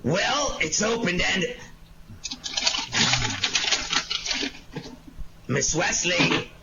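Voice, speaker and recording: Through dull, very noisy audio with clicks comes a suspicious police inspector played by a man in an old radio detective play. His voice is high and swings widely as he makes blustery, sardonic accusations. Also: big pitch swings, takes it slowly, vocal fry, full character voice. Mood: triumphant. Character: gruff, suspicious male police inspector searching an apartment. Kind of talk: 1940s radio detective acting.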